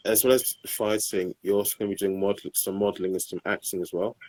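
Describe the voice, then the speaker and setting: Male interviewer, deep voice, halting questions. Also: steady tone, a little mellow, low-quality recording. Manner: hesitant, many ums and uhs. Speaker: podcast host.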